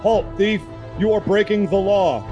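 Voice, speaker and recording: A man voicing a stern robotic police cyborg with a flat, commanding, formal delivery. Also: high voice, a little slow, lo-fi sound, over music.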